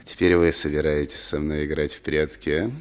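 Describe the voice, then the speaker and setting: A man's voice: rumbling low, level tone, brisk, clear, resonant tone. An actor playing a sly, threatening henchman in a radio play, speaking insinuatingly as he bargains for his boss. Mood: accusing, probing. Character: menacing male henchman of a powerful boss, sly negotiator.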